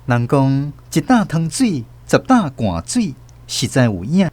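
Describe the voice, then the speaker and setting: A man with a Taiwanese accent narrating a radio novel in an expressive storytelling manner. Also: medium pitch, measured pace. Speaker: narrator and host.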